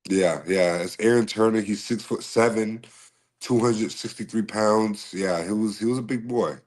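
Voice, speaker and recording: A man from Massachusetts answering interview questions in a polite, easygoing way, often saying "yes sir" and pausing on fillers. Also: on the low side, darkish tone. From Massachusetts, USA. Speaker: podcast guest, slap fighter and bodyguard.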